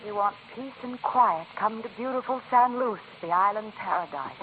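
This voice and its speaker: A woman's voice: mid-range voice, normal speed, lightly acted. An actress playing a wry, uneasy, outspoken young woman in 1940s radio style. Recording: tinny, noisy 1940s radio recording.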